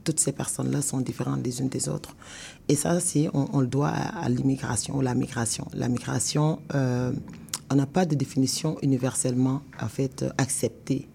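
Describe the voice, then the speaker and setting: Mellow, creaky Senegalese woman, didactic. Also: very deep voice, steady tone, a little hiss or hum. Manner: measured, didactic, defines terms step by step. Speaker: law professor, expert guest. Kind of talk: explanatory interview answers.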